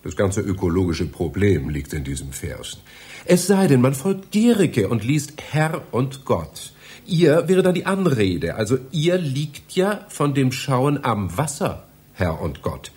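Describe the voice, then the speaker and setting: A man's voice: mid-range voice, huge pitch swings, a little slow, a little mellow. An actor in a radio play playing a fussy, bewildered male library reader who talks in a theatrical, pedantic way. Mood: pedantic, lecturing. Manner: theatrical, pedantic, muttering to himself.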